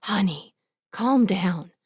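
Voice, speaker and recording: A woman's voice: medium pitch, lively intonation, takes it slowly, darkish tone, breathy edge, no echo, clean studio sound, exaggerated voice. A woman voicing a worried, protective middle-aged mother, stern and fussing, in an audiobook. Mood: hushed, fussing. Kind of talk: audiobook character dialogue.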